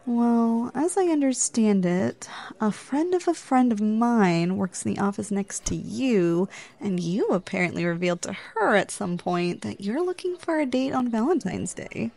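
A woman's voice: medium pitch, gravelly, a little mellow. Flirty, teasing woman acting on a date. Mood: chatty, friendly. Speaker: ASMR roleplayer. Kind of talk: ASMR roleplay.

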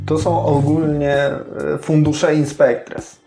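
A man's voice: a little high, level tone, slow, warm tone. A man with a Polish accent narrating as game master in a conversational tabletop role-playing session. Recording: roomy echo with music behind. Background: music.

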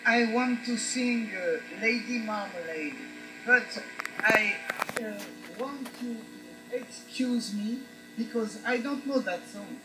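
An older woman from France with a French accent, chatting onstage with a show host as a karaoke contestant, halting and self-deprecating, laughing at herself into a handheld microphone. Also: fairly high pitch, low-quality recording. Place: cruise ship show stage. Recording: live band music behind the voice. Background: live band music.

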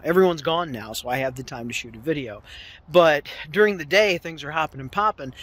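A man's voice: a little high, pushed and bright. A man in his fifties, a part-time contractor, rambling and chuckling at himself as he films a casual walk-through of a tool trailer at night, holding a phone camera at arm's length inside the small trailer. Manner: rambling, chuckling, self-deprecating. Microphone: phone camera held at arm's length.

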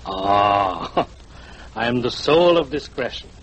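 Fawning, self-important guide, florid courtesy, mid pitch, vintage radio drama sound. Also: male voice, lively intonation, slow pace, a bit bright, highs cut off, heavily acted. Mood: oily, ingratiating. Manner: oily, flowery, self-important politeness. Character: big, weary, unctuous foreign tour guide, eager to please. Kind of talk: 1940s radio acting. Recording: old 1940s radio broadcast, noisy.

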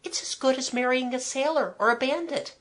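A woman's voice: sits high, brisk, a bit bright, pitched above the performer's own voice. A woman voicing a sensible, eager and slightly bossy schoolgirl in a storybook, with a coaxing manner.

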